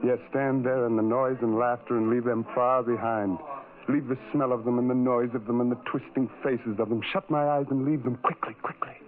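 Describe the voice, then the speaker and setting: A man's voice: mid-range voice, steady tone, fairly quick, natural voice. A male actor plays a tormented, self-loathing man cursed with ugliness, telling his story as a brooding radio drama monologue. He repeats phrases obsessively, in anguish. The sound is thin and narrow, like an old radio, with music beneath. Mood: brooding, wistful. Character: tormented, self-loathing ugly man, a sideshow freak narrator. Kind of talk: 1970s radio drama acting, first-person monologue.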